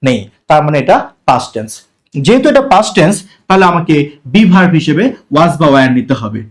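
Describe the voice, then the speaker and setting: A man's voice: a little high, sing-song, fairly quick. A man with a Bangladeshi Bengali accent teaching an English grammar lesson, explaining step by step and asking and answering his own questions. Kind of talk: classroom-style grammar lesson.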